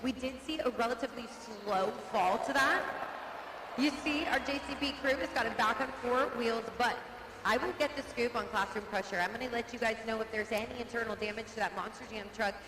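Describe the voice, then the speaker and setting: A woman working as trackside reporter, explaining a truck rollover in measured live analysis. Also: on the high side. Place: indoor arena. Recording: echoey arena PA with crowd noise.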